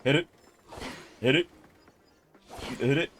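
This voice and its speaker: A man's voice: medium pitch, takes it slowly, a bit bright. A male game streamer reacting casually live in short, playful, often repeated interjections.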